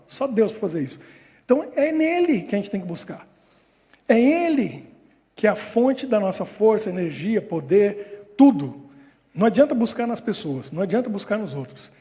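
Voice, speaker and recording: Brief: pastor, high mellow voice, chuckling storyteller, reverberant hall. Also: male voice. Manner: lively storytelling with frequent chuckles. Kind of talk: sermon. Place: church hall.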